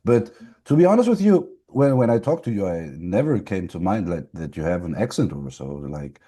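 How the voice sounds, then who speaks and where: Mellow-voiced young man, slangy host. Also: lowish voice, fairly quick. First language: Greek. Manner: relaxed, slangy, calls guest bro. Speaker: podcast host. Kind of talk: casual podcast conversation.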